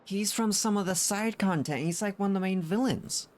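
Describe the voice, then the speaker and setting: A woman's voice: medium pitch, moderate pace, a little mellow. A woman game streamer giving casual commentary as she plays a video game. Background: game music.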